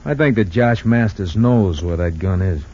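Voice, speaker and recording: An actor playing a folksy, excitable police lieutenant in an old radio drama. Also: male voice, fairly low pitch, normal speed, breathy edge. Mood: matter-of-fact. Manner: chuckling, exclamatory, folksy. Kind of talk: 1940s-50s radio drama acting. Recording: dull lo-fi old radio recording.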